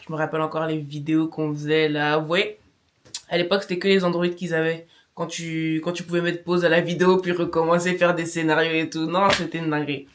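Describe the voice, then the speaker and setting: A woman who has known the host since childhood, telling stories about her in a slangy, animated way. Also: low voice, a little fast, a little hiss or hum. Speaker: close friend from childhood. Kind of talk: casual testimonial.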